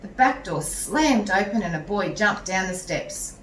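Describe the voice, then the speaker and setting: A woman reading a picture book aloud to young children in a measured, animated way, pausing to chat to them. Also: mid-range voice. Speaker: teacher reading a story to children. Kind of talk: expressive picture-book read-aloud with asides to young listeners. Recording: roomy echo, distant mic, some noise.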